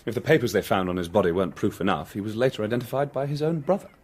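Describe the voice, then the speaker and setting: A man's voice: lowish voice, very fast, a little mellow, breathy edge. An actor playing a smug, exacting young reporter in a radio drama, pompously teasing his friend. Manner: languid, pompous.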